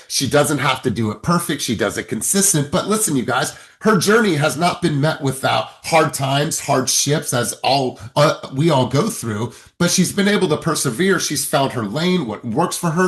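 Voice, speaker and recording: Upbeat man hosting a video coaching call. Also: a little high. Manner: upbeat, hyping the audience with repeated phrases. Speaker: sales coach and session host. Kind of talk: energetic group coaching call.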